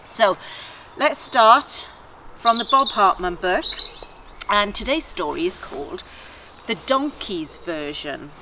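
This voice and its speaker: Unhurried, very expressive woman reading stories. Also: mid-range voice. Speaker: children's story reader. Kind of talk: friendly chat and expressive storybook narration. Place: outdoors in a garden. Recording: faint outdoor garden noise.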